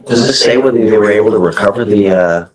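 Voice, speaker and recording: A man's voice: lowish voice, quick pace, crowd noise, low-quality recording. An American man speaking as a judge, questioning lawyers informally in a courtroom hearing. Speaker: judge presiding over a hearing.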